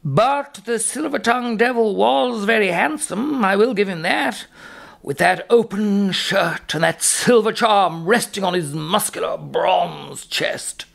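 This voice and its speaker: Pompous, creaky-voiced Irish academic. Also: male voice, sits high, a bit bright, full character voice. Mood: swooning. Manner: pompous, hesitant, theatrically drawn-out. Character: pompous, snobbish male Irish academic, fussy and wistful. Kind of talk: mock documentary interview.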